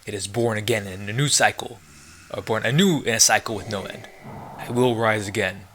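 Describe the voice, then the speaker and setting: A man voicing a vengeful demon king from a video game, reading grand curses in a solemn, measured way. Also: fairly low pitch, fairly bright tone, slight background noise, lightly acted. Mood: solemn, menacing.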